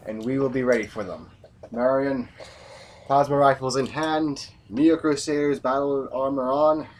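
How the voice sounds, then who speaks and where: A man's voice: medium pitch, steady tone, measured pace, a little hiss or hum, lightly acted, rough recording. A man acting a hardened, brooding military commander with a grave, formal delivery in a science fiction audio drama. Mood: defiant, resolute.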